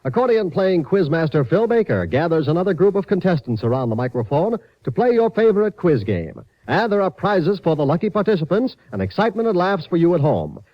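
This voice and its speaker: Casting: lively, high male American announcer for a vintage radio network plug, dull sound. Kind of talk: old-time radio promo.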